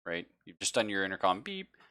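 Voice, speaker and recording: A man with a bright, forceful voice runs a tabletop roleplaying game. His pitch moves around a lot as he narrates casually and puts questions to his players. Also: very animated, a little fast. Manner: conversational, checks in with players. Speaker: game master.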